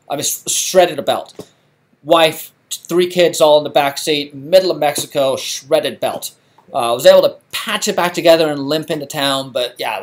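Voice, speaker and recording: A man's voice: a little high, a bit bright. A middle-aged man from England hosting a live stream, chatting casually with lots of fillers and restarts. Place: home garage.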